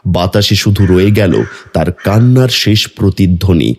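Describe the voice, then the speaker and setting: A man's voice: low-pitched, normal speed, very noisy. A man narrating a horror story in a dramatic, scripted storytelling style. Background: music.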